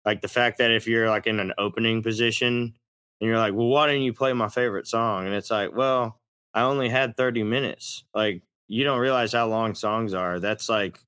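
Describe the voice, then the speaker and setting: Man, Texas accent, bright forceful tone, crisp voice, plain-spoken country singer, casual interview talk. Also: lowish voice, steady tone, moderate pace, no echo. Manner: folksy, plain-spoken, mildly profane. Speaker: country rock singer and songwriter being interviewed.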